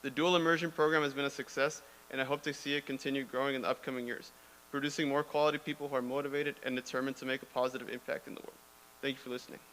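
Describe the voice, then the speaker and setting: A man reading a prepared public comment at a school board meeting, brisk with a few restarts. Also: medium pitch, flat delivery, a bit bright. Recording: reverberant room with faint hum.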